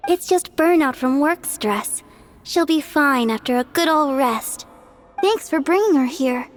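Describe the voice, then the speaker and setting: High-voiced, sweet young healer girl. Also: animated, unhurried, faint music behind. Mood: gentle concern. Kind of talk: video game voice acting.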